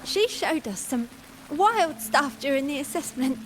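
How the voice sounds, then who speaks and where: A woman voicing an eager, admiring young girl recruit in a video game, earnest, excitable and slightly hesitant. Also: sits high, a little slow, background noise. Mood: admiring, excited.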